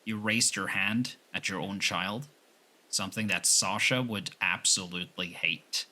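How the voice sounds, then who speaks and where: A man playing a stern, loyal Yakuza underling in an audio roleplay, firm and measured, with a gritty, husky voice. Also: fairly low pitch, sharp tone, full character voice. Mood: defiant, accusing. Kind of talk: audio roleplay drama acting.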